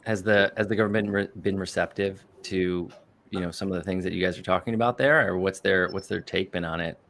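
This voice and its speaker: A man's voice: sits low, steady tone, fairly quick, creaky, a bit bright, slight background noise. A man hosting a casual crypto livestream, with loose, upbeat patter full of fillers. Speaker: livestream host.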